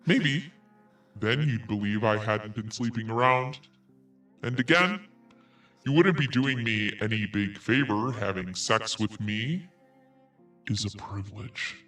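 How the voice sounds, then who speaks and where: A man's voice: lowish voice, unhurried, long pauses, fairly bright tone, recorded from a distance, faint music behind. A man podcast host reading an email aloud in a theatrical, emphatic way. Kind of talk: dramatic read-aloud of an email.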